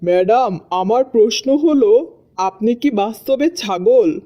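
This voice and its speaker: A woman's voice: on the high side, expressive, unhurried, warm tone. A woman voicing a cheeky, smart-alecky schoolboy with mock-respectful answers in a cartoon joke sketch. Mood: cheeky, backpedalling. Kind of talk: Bangla cartoon joke dialogue.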